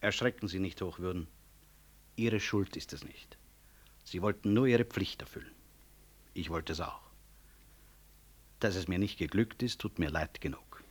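A deep-voiced, dignified medical professor. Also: male voice, slightly rough. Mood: quietly resigned. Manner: formal, measured, precise. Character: dignified male medical professor, courteous but unyielding, gently ironic. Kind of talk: 1960s German radio drama acting.

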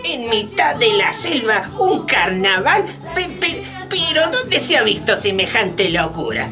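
Woman as reluctant townsperson, skeptical, flustered, stammering, animated intonation, radio play, music in background. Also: a little high, unhurried. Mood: doubtful. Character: fussy, skeptical, reluctant townsperson being dragged along. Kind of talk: theatrical radio drama acting.

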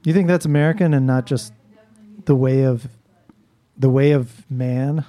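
A man's voice: mid-range voice, a little slow, dry recording. A man in his seventies, co-hosting a radio show with casual, hesitant, rambling banter and frequent laughs. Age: 70s. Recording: loud guitar music behind.

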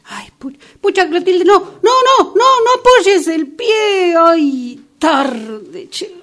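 A woman's voice: very high-pitched, expressive, slow pace, fairly bright tone, exaggerated voice. A woman in an amateur radio drama playing a fussy, solicitous retired old sister with a Rioplatense Argentine accent, given to fretful exclamations. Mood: dismayed.

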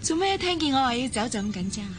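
Fairly high-voiced, determined young surgeon, Cantonese. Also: female voice, big pitch swings, quick pace, faint music behind. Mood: friendly, teasing. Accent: Hong Kong Cantonese. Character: friendly, determined, curious young female surgeon. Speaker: radio drama actor. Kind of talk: radio drama acting.

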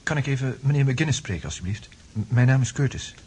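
A man's voice: medium pitch, expressive, fast talker, a little mellow, lightly acted. An actor in a radio drama playing a troubled, hesitant, apologetic man, on a noisy recording. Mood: anxious, insistent. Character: troubled, anxious man, ashamed and unsure of himself.

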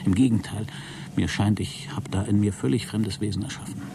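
Deep-voiced, pedantic, embittered man. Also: steady tone, fairly quick, creaky, background noise. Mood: hushed, grimly resolved. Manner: pedantic, formal, cold. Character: embittered, self-important man keeping a log in a sealed craft. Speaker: radio drama actor. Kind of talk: German radio play acting, spoken logbook monologue.

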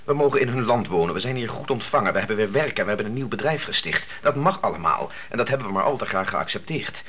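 A man's voice: lowish voice, lively intonation, quick pace, pushed and bright. An actor playing a persistent grown son in a radio play, arguing and reasoning with his mother. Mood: insistent, reasoning. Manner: argumentative, reasoning. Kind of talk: radio drama acting.

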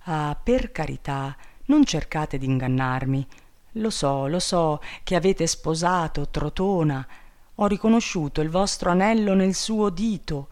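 A storybook reader voicing a young imprisoned princess in a plaintive, theatrical lament, talking slowly. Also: mid-range voice, lively intonation, darkish tone. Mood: indignant. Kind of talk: storybook reading.

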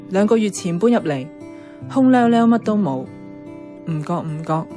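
A woman's voice: medium pitch, expressive, normal speed, warm tone, close to an everyday voice. An actress with a Hong Kong Cantonese accent playing a sentimental young woman in a radio drama, narrating and acting. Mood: wistful. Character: sentimental young woman about to part from her love. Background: music, plucked guitar.

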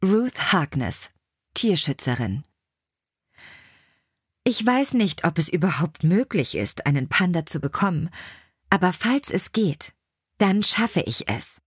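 Female audiobook reader, slightly deep voice, close dry recording. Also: big pitch swings, slow pace, long pauses, slightly rough, no echo. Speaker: audiobook narrator. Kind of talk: scripted storybook narration.

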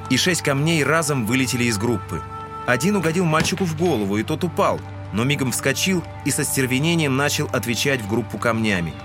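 A man's voice: mid-range voice, lively intonation, fairly quick. A man giving scripted literary narration in an audio drama. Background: music.

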